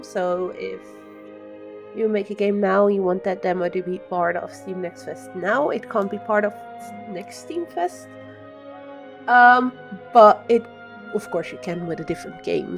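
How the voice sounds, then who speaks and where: A Dutch woman with a Dutch accent, chatting casually on a live stream and answering chat with many ums. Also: mid-range voice. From Netherlands.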